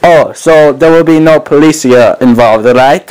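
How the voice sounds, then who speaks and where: A man making a prank phone call, talking haltingly and acting clueless and evasive. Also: on the high side, a little mellow, smooth. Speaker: prank caller.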